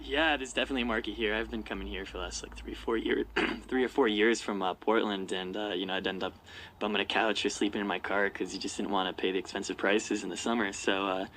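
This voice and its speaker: A man in his thirties from Washington state, a guest co-owner of a hostel, speaking in an easygoing, halting way in a radio interview. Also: on the low side, steady tone, a bit bright, a little hiss or hum. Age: 30s.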